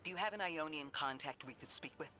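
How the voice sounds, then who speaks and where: An actor voicing a calm, measured man who guides newly woken people, speaking slowly and reassuringly in a video game cutscene. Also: fairly high pitch, a little hiss or hum. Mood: businesslike. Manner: measured and reassuring, slow deliberate phrasing.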